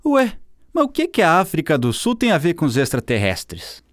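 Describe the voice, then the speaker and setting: A man with a Brazilian accent playing a down-to-earth, gently teasing skeptic who chats casually in a radio drama. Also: on the high side, huge pitch swings, moderate pace, no echo, clean studio sound. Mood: skeptical. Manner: casual, questioning. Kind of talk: scripted conversational radio drama.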